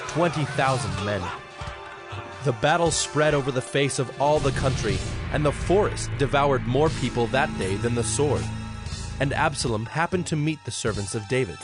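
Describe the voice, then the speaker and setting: A male narrator reads scripture in a medium-pitched voice. His delivery is solemn and measured, and music plays clearly underneath throughout.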